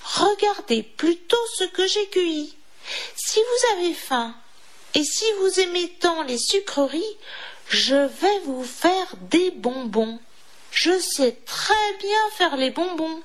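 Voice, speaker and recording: A woman's voice: very high voice, animated, slow, pitched above the performer's own voice, exaggerated voice. A woman voicing a small, timid female mouse in a children's storybook, pleading and eager to please.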